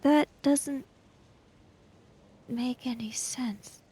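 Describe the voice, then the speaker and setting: Woman playing a slow, puzzled, polite samurai woman. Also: high voice, fairly even intonation, dark tone, slightly rough, full character voice. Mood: confused. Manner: hesitant, formal, puzzled. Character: puzzled, polite female samurai in a tabletop game.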